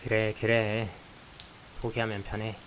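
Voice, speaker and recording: A man's voice: lowish voice, unhurried, low-quality recording. Visual novel schoolboy done by a guy, dry n grumbly, sighs a lot, bit of rain noise behind. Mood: grumbling, annoyed. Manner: blunt, dry, sighing and sarcastic. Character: grumbling, exasperated male high school student, reluctant partner. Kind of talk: visual novel voice acting with some first-person narration. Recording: hiss with steady rain behind.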